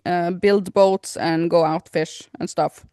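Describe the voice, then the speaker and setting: A woman's voice: on the low side, fairly even intonation, takes it slowly, dark tone, smooth, no room sound. Woman podcaster, dry close sound. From Norway. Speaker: podcast co-host. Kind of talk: casual chat.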